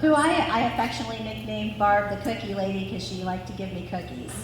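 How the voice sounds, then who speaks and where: A woman's voice: mid-range voice, animated, quick pace. A woman in her forties from Ohio reads a family story with chatty, sentimental asides at a live gathering. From Ohio, United States. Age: 40s. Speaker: daughter reading family story at anniversary event. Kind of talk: scripted family narration with informal asides. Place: large reverberant room at a live gathering. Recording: echoey hall, distant, clearly noisy.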